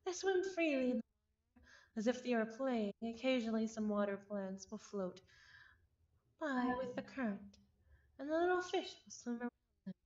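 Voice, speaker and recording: A woman streamer reading a visual novel aloud, expressive and given to breaking off into exasperated, chatty commentary. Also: fairly high pitch, moderate pace, long pauses, muffled recording. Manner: expressive, breaks off narration to exclaim and repeat words in exasperation.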